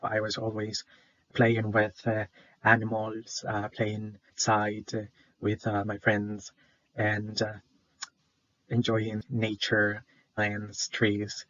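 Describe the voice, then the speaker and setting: Shy young salvadoran guy presenting for class, flat voice, lots of uhs, dull dry recording. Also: on the low side, takes it slowly, no echo. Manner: hesitant, many fillers, shy. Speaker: student presenter.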